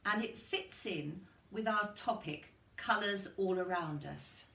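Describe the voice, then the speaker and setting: A woman narrating a storybook for young children like a kindergarten teacher, expressive and deliberate. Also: mid-range voice. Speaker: kindergarten teacher reading aloud. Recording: roomy, distant microphone.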